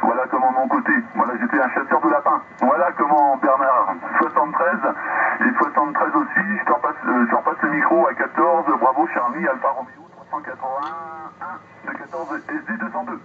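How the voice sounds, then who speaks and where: A man's voice: medium pitch, fairly even intonation, normal speed. A man chatting casually as a radio operator over a two-way link, rambling and often repeating the same filler phrases. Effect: two-way radio transmission. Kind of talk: casual radio contact chat. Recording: narrowband radio, loud static, distant.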